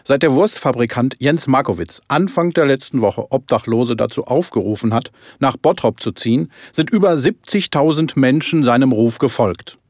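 Serious news anchor, mid voice, dry studio sound. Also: male voice, medium pitch, expressive, moderate pace, hardly any pauses, darkish tone, no room sound. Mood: sober, formal. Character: sober, formal television news anchor. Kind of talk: scripted news reading in radio play.

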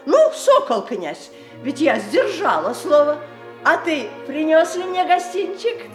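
An actress playing a sly, merry matchmaker in a radio play, with a folksy, sing-song, exclaiming delivery. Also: female voice, high voice, big pitch swings, slow pace, over music, heavily acted. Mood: merry, teasing. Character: sly, merry, greedy matchmaker woman chaperoning lovers. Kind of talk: theatrical radio play acting.

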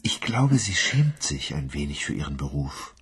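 An actor playing a lonely, timid man who speaks hesitantly and quietly. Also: rumbling low, very animated, normal speed, breathy edge, full character voice. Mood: wistful. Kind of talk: radio drama acting.

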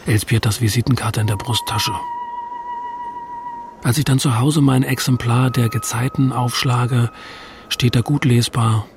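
A man's voice: medium pitch, wildly swooping pitch, unhurried, long pauses, music in the background. Reflective, self-doubting male writer. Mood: rueful, reflective. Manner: reflective, confessional. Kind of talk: radio drama narration and dialogue.

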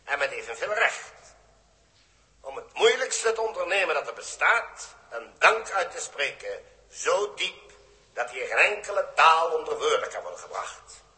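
A man's voice: on the high side, very animated, normal speed, pushed and bright, heavily acted. An actor playing a middle-aged, fatherly chief engineer of a space base in a formal 1960s radio drama style. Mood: solemn, proud. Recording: echoey hall, old radio recording.

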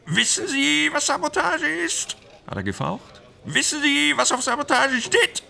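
A man's voice: very high-pitched, huge pitch swings, unhurried, vocal fry, bright, forceful tone, heavily acted. A man acting an agitated, theatrical stage colleague who mimics others as he gossips fearfully in a German radio drama. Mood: mocking, heightened imitation. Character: agitated male actor gossiping fearfully about Nazi film studio.

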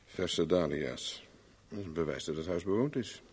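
Low voiced detective, dry n sure of himself, old radio play, noisy recording. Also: male voice, expressive, moderate pace, darkish tone. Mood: musing, searching. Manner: dry, self-assured, talks to himself while searching. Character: cool, determined male private investigator from London. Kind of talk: 1970s Dutch radio play acting.

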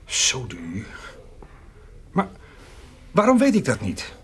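A farmer's son in a radio play. His pitch moves freely, and he is plain-spoken, protective and stubborn. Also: wildly swooping pitch, takes it slowly, slight background noise. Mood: concerned, firm. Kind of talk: Dutch radio drama acting.

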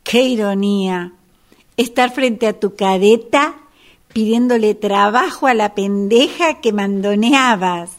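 An Argentine woman acting in a radio drama as a self-possessed woman, speaking in a deliberate conversational way. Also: medium pitch, close to an everyday voice. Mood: bitter, gloating. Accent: Argentine, Rioplatense.

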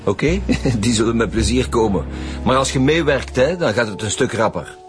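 A man's voice: medium pitch, normal speed, music in the background, natural voice. A man with a Flemish accent playing a seasoned chief inspector in a radio drama, firm and dryly sarcastic.

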